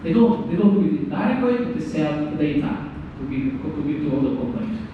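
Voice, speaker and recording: A man delivers a technical lecture in a rather high voice, stumbling and repeating words before he stresses his points. The recording is muffled and dull, and comes from a mic set well away from him. It echoes heavily around the room, with steady noise underneath. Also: a little fast. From Hungary. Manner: hesitant, repeats words, animated emphasis. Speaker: guest lecturer. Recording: echoey hall, distant mic, dull sound, background noise.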